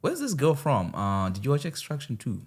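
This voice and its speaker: Playful man host with animated pitch. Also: normal speed, breathy edge. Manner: playful, teasing, argues with animated pitch swings. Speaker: podcast host. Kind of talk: casual chat.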